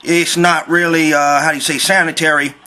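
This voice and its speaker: A man plays a Southern construction worker on a prank call, a little high in pitch and quick. He rambles in folksy slang, mock-polite but stubbornly insistent. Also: flat delivery, bright, forceful tone, a little hiss or hum. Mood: aggrieved, insistent. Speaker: prank caller. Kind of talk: improvised prank phone call.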